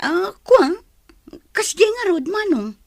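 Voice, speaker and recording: A woman's voice: very high voice, big pitch swings, slow, vocal fry, no room sound, clean studio sound, full character voice. A woman playing a flustered, hesitant village woman who stammers out pleading excuses in a comedy radio drama. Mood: whiny, pleading.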